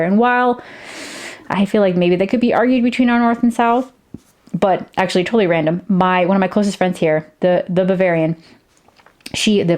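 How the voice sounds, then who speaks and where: A woman's voice: lowish voice, darkish tone. A woman giving casual reaction commentary, rambling with many restarts and fillers. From United States. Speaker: YouTuber reacting to a video.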